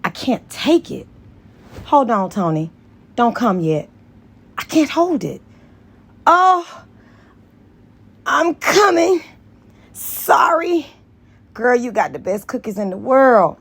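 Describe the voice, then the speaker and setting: An audiobook character, a married lover acted out by a woman. He is smitten and persistent, pleading his case. The pitch sits raised and eager. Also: big pitch swings, slow, gravelly, long pauses, a bit bright, breathy edge, heavily acted. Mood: breathless, eager. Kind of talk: dramatized audiobook dialogue.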